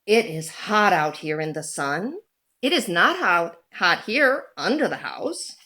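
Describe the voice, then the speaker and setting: A woman reading a children's picture book aloud in a deliberate, animated storytelling manner. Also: on the low side.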